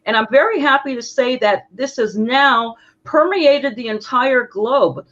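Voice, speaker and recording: Lively woman telling dance stories. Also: sits high, unhurried. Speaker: guest, dance instructor. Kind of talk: casual interview talk. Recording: dull lo-fi sound.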